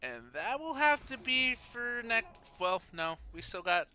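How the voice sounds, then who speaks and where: A man streaming a video game, talking casually and reading aloud in an animated, playful way. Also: very high voice, unhurried, a little hiss or hum. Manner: animated, playful, sound-effect exclamations. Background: faint game music.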